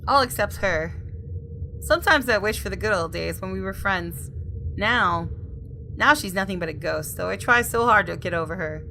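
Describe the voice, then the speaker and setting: A woman with a bright, forward voice reads game narration like an expressive storyteller, slipping into casual asides. Her pitch sits in a middle range. There is faint noise and ambience behind her. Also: normal speed, creaky, low-quality recording. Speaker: gaming video host. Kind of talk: reading visual novel narration aloud with casual asides.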